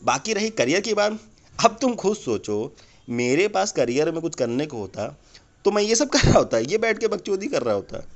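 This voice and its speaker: A man's voice: fairly high pitch, sing-song, moderate pace. A man playing a crude, irritable motivational speaker in a comedy phone-call sketch, ranting bluntly and swearing in a rambling, cynical way. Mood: scornful, boastful.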